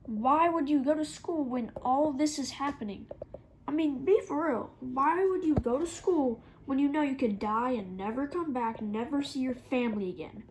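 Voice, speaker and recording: A woman's voice: high voice, normal speed, a little mellow, slight background noise, rough recording. A woman giving casual reaction commentary, blunt and incredulous, with jokey exaggeration. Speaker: reaction video host.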